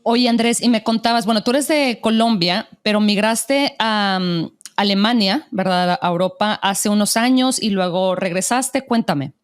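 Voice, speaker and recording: A woman's voice: mid-range voice, normal speed, hardly any pauses. A woman hosting a podcast interview, asking questions in a friendly, encouraging way and giving frequent short replies. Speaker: podcast host.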